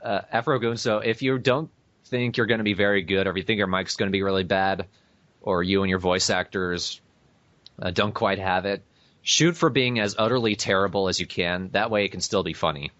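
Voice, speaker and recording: A man's voice: deep voice, fairly even intonation, brisk, fairly bright tone. A man hosting a podcast in casual chat with a dry, blunt manner, recorded on a dull lo-fi voice chat. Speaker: podcast host.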